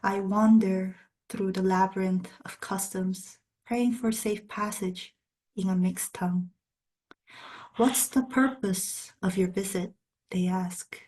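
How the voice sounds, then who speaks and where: Slow-paced woman reading poetry. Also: medium pitch, steady tone, a little mellow, poor audio. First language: Spanish and Korean. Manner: measured, deliberate, pausing between short phrases. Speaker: poet. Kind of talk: poetry reading with brief spoken introductions.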